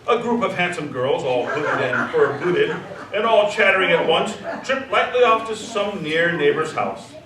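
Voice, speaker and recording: A man reading scripted narration for a live radio drama in a theatrical, expressive way. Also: fairly high pitch, normal speed, a crowd in the background. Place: theater stage before live recording. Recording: echoey live stage, distant, faint noise.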